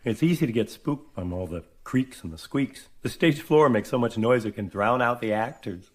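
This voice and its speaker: An actor playing a reasonable, matter-of-fact member of a theatre troupe in a radio drama. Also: male voice, mid-range voice, moderate pace, close to an everyday voice.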